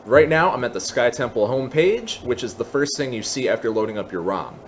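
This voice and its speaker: A man presenting a software tutorial in a methodical, step-by-step, explanatory way. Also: mid-range voice, animated, fairly quick, a little hiss or hum.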